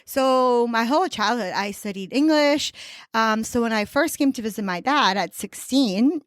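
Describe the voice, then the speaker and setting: Romanian-accented woman guest in her 30s, chatty and quick to laugh, higher pitch, close dry mic. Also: expressive, dry recording. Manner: chatty, laughs often, many fillers and restarts. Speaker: podcast guest. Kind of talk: casual interview chat.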